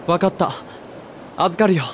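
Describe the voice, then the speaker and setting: A man's voice: high-pitched, measured pace, a little hiss or hum. A man acting an earnest, guarded teenage boy hero in a Japanese video game cutscene, in terse, hesitant phrases. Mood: resolved, quiet.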